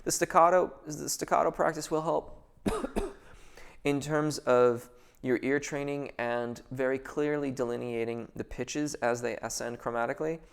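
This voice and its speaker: Guy vocal coach doing a live q&a, gravelly yet smooth voice, lots of ums, explains singing technique. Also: mid-range voice, measured pace. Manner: thoughtful, hesitant, many fillers and restarts. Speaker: vocal coach answering viewer questions. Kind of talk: casual live Q&A.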